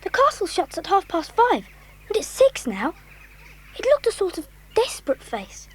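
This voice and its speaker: A woman playing a sensible, well-spoken older schoolboy, the calm leader in a children's audio drama. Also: very high-pitched, normal speed, full character voice. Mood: puzzled, sensible.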